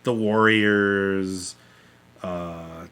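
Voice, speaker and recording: Male co-host for casual film chat: bright, punchy voice, animated and opinionated. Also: low-pitched, drawn-out words, smooth. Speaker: podcast co-host. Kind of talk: casual movie review chat.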